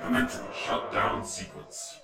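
A man's voice: a little slow, over loud music, lightly acted. A man playing a cold, unfeeling alien pod computer that gives clipped, impersonal announcements in a sci-fi audio drama. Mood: cold, procedural.

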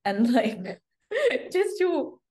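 A woman's voice: high-pitched, wildly swooping pitch, extremely slow, darkish tone. A woman guest sharing a personal story in a reflective way, often repeating words for emphasis. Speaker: guest, bride-to-be. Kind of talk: casual storytelling.

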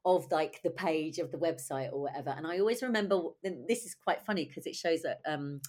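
A woman in her forties with a husky voice and a cold, talking in a lively, chatty way as an interview guest. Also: deep voice, fairly quick. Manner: chatty, lively, laughs often. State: has a cold. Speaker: guest writer and director. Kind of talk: conversational interview.

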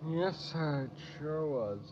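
An obliging, drowsy teenage American son in a vintage radio comedy. His voice is high and very slow, on a muffled old broadcast. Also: animated, darkish tone, a little hiss or hum. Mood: drowsy, agreeable. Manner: brief, obliging replies. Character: sleepy, agreeable teenage son. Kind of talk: 1940s-50s radio sitcom acting.

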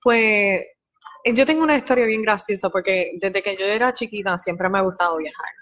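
A woman's voice: medium pitch, a little fast, fairly bright tone. A young woman, an air traffic controller guest chatting casually and slipping in English words. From born in Guatemala, raised in Puerto Rico. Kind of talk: casual interview chat.

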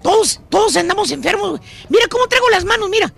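A man playing a grumpy, stingy older man on a radio comedy show, whining about being frozen in a shrill, creaky put-on voice. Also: expressive, quick pace, a bit bright, soft background music, full character voice. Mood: grumbling. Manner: whiny, grumbling, salty slang.

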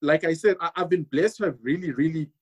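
A man speaking earnestly in a panel discussion, husky and emphatic, repeating words for stress. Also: fairly high pitch, fast talker, highs cut off.

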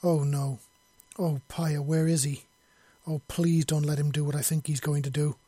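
A desperate female alien huntress in an audio story, acted by a man. The voice is pushed high and rough at the edges, loud and pleading with panic and guilt, in a dry, close recording. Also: little pitch movement, moderate pace, no echo. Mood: desperate, panicked.

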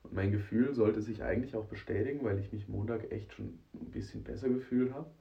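A man hosting a casual solo podcast, hoarse and congested from a cold, with a rough, scratchy voice, chatting straight to the listener. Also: fairly low pitch, brisk, distant mic, dull audio. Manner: chatty, addresses listener directly, frequent "ne?". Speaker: podcast host.

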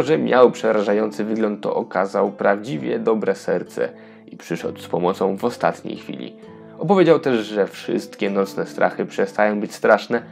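A man's voice: fairly low pitch, gravelly, a little mellow. A man narrating a scripted children's fairy tale as a storyteller.

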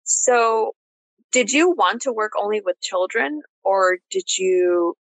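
A woman's voice: mid-range voice, animated, slow, a bit bright, dry recording. A woman in her late twenties hosting a casual chat interview, informal and slangy as she talks. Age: late 20s. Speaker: podcast host, therapist.

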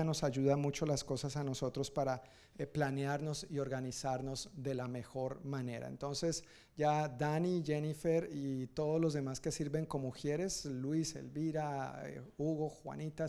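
Male pastor, slightly high pitch, mellow tone, informal and joking, church announcements, self-correcting. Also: gravelly. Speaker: pastor leading announcements and introducing the speaker.

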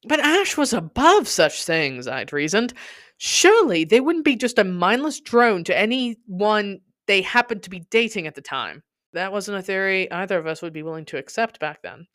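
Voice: mid-range voice, sing-song, normal speed, a bit bright, no room sound, clean studio sound. A podcast host reading a scripted personal narrative aloud with dramatic, emphatic delivery.

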